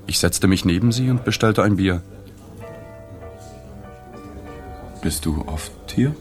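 A man's voice: low voice, animated, moderate pace, soft background music. An actor playing a melancholy journalist in a literary radio drama, telling his story in a sober, restrained way. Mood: pensive. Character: subdued, melancholy male journalist narrating his own story. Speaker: narrator.

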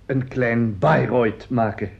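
A man's voice: medium pitch, slow pace, gravelly. An actor playing a courteous, eager civic committee chairman in a Dutch radio play, formal and conciliatory in manner. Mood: polite, eager. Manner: formal, conciliatory, hesitant under challenge. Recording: dull old radio recording.

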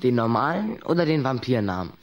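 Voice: mid-range voice, very animated, normal speed, natural voice. A young performer playing a polite, nervous but cheeky boy in a radio play, hesitant and evasive. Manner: hesitant, stammering, sly. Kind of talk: German radio play acting.

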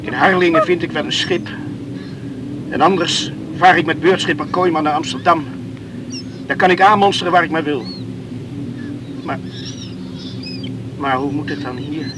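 An actor playing a torn, brooding young islander who talks to himself in agitated self-reproach in a radio play. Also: male voice, on the high side, normal speed, long pauses, background noise, natural voice. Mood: anxious, torn.

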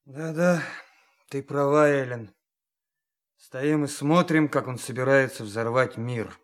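A man's voice: medium pitch, slow pace, lightly acted. An actor in a Russian audio drama playing a resigned, frustrated young man with a weary manner.

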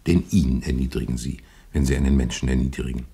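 An actor playing an earnest, courteous priest in a German radio drama, speaking in a deliberate, rhetorical and insistent way. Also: male voice, a bass voice, fairly even intonation, vocal fry, mellow, breathy edge, heavily acted. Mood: fervent, insistent.

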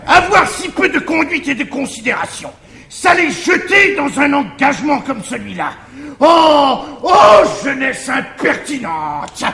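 A grumbling old bourgeois father in classical French stage farce. His voice is very high, bright and gravelly, lurching up and down as he blusters indignantly. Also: very animated, unhurried, exaggerated voice. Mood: outraged. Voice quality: creaky, gravelly edge. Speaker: stage actor.

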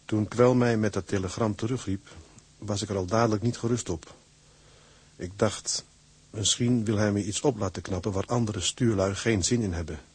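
A seaman telling his story as a letter to his wife in a radio drama. His voice is low and husky, recorded very dry. He narrates with affection. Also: fairly even intonation, normal speed, darkish tone, no room sound. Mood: fond, storytelling.

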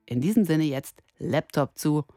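A man reading a scripted column in a deliberate, declarative way. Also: fairly high pitch, animated, dark tone. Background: music.

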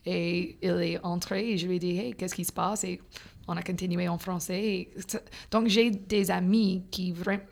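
A young woman from Louisiana whose first language is English, a French teacher talking casually as a podcast guest, halting and full of fillers, slipping in English words. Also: lowish voice, fairly even intonation, gravelly, darkish tone. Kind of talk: casual conversation.

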